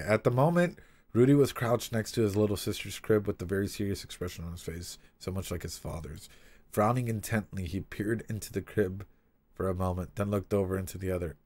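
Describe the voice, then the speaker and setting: A man streaming a casual read-aloud with commentary, talking in an animated, swearing way with frequent asides. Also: on the low side, moderate pace.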